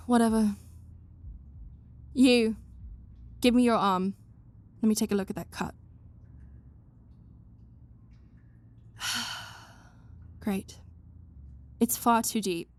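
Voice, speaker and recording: A woman's voice: a little high, fairly even intonation, takes it slowly, long pauses. A woman voicing a stern, bossy but caring young woman who scolds someone she cares about. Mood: exasperated. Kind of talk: fan audio roleplay.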